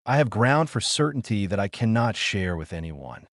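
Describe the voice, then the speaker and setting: Man acting a sickly guilt-ridden doctor, anxious, solemn, formal speech, animated intonation, dry audiobook recording. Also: on the low side, normal speed, no room sound. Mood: anxious, confiding. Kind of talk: dramatic audiobook character reading.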